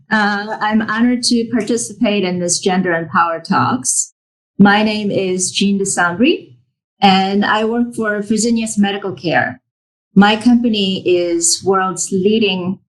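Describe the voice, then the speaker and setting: A woman, a corporate executive giving a measured self-introduction in an interview over a remote call. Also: mid-range voice, steady tone, low-quality recording. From United States. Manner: measured, composed, with fillers between phrases. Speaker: corporate executive, guest speaker. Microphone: remote call from abroad.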